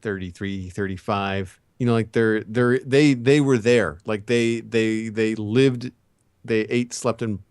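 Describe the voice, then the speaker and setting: A man's voice: fairly low pitch, steady tone, takes it slowly, a little mellow, clear, resonant tone, dry recording, clean studio sound. A man co-hosting a casual pop-culture chat, talking in a rambling, opinionated way. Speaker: podcast co-host.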